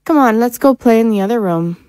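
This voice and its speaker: A woman's voice: on the high side, animated, a little fast, dark tone, clean tone, no room sound, clean studio sound, close to an everyday voice. A woman playing an anxious, insistent wife and mother in an audio drama. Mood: tense.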